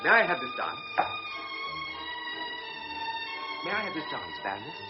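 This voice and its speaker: An actor playing a courteous, gallant young cavalry lieutenant in a radio drama. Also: male voice, on the high side, huge pitch swings, very fast, loud music behind the voice. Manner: polite, gallant. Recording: echoey, dull radio recording, loud background.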